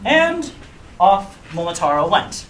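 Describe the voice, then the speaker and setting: Casting: female comic storyteller, mid-pitched with big swoops, echoey noisy room. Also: big pitch swings, slow pace. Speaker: puppet show storyteller. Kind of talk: scripted live story narration, comic asides.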